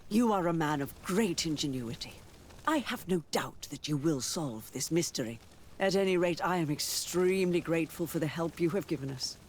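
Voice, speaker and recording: A man's voice: fairly high pitch, animated, normal speed, slight background noise, natural voice. A man voicing a gracious, courtly dignitary in a video game, with ornate, formal courtesy. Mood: gracious, inquiring. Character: courtly male dignitary, gracious and grateful ruler. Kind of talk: video game cutscene acting.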